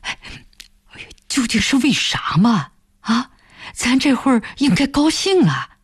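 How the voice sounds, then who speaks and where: A woman's voice: on the low side, lively intonation, moderate pace, no room sound, studio quality. A woman voicing a good-natured, eager and anxious young village husband in an audiobook, with rustic, exclamatory speech. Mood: flustered concern. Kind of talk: audiobook character voice.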